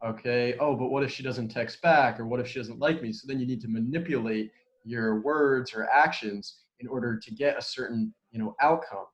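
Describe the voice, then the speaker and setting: A male podcast host with a mid-pitched, everyday voice talks in an easygoing, conversational way. He keeps slipping "okay" into his points as he reasons aloud. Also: normal speed, dull audio. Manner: conversational, peppers speech with "okay".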